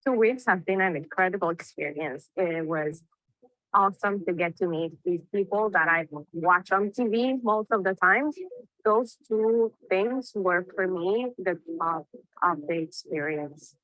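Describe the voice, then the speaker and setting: A woman's voice: fairly low pitch, a little slow, no echo, lo-fi sound. A woman, a graduate student giving an enthusiastic testimonial in a promotional video. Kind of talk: testimonial interview.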